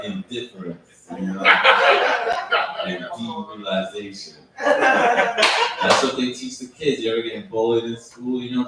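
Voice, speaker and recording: A man doing a stand-up set at an open mic night with a calm, laid-back, deadpan delivery. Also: lowish voice. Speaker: stand-up comedian. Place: open mic at a bar venue. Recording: echoey room from far off, loud noise.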